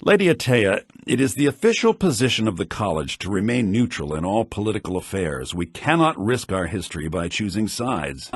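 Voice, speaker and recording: An actor playing a haughty, grumbling fantasy townsman in a video game, theatrical and sardonic, in low-bitrate audio. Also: sing-song, brisk, hardly any pauses, husky, dry recording, clean studio sound. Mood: restrained, formal. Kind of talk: video game character voice lines.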